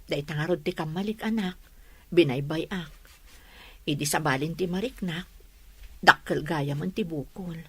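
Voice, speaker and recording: Ilocano actress as a sick but determined mom, radio drama, plaintive and earnest, close dry sound. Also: female voice, deep voice, unhurried, long pauses, breathy edge, dry recording. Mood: resigned, steady. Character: ailing but resolute mother facing surgery.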